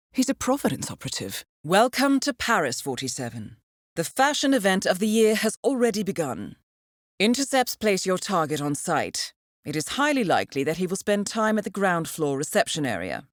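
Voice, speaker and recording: An actress playing a composed, dryly witty intelligence handler who briefs an assassin in a measured, formal way for a video game. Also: female voice, medium pitch, dry recording, studio quality. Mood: crisp, businesslike. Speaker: mission handler briefing an agent. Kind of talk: scripted video game voice acting, mission briefing.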